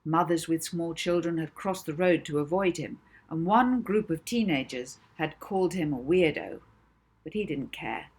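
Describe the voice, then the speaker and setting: Husky, low-voiced woman reading a story. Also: moderate pace, a little mellow. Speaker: author reading own novel aloud. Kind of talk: expressive children's audiobook narration.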